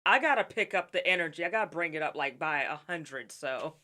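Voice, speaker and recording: A man's voice: on the high side, a little fast. A man interviewing a rapper, chatting casually and agreeably about making music. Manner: animated, agreeable, lots of "mm-hmm".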